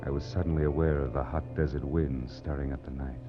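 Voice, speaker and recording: An American actor playing a cynical, tough private detective in a 1940s radio drama, with a clipped, world-weary, wisecracking delivery. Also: male voice, a bass voice, fairly even intonation, quick pace, over music. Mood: hushed narration. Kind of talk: 1940s radio detective drama acting with first-person narration. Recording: dull, noisy, humming 1940s radio recording.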